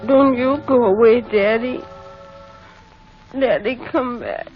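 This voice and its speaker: A woman's voice: fairly high pitch, takes it slowly, faint music behind. A woman playing a pleading, affectionate little boy in an old-time radio drama. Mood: anxious. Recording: dull old radio recording.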